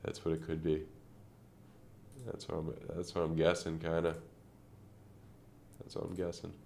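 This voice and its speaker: Man, very low voice, nasal, stuffed-up, rambling trading chat. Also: completely flat delivery, unhurried, gravelly, dark tone, a little hiss or hum. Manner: rambling, thinking aloud with many fillers. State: congested from a sinus infection. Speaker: crypto trading streamer. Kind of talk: casual live-stream chart commentary.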